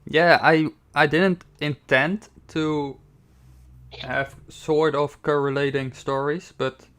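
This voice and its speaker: A man's voice: a little high, steady tone, slow, fairly bright tone, clean tone. A young man streaming a game, chatting casually with a guest and laughing easily, on a desktop mic. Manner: laid-back, laughs often.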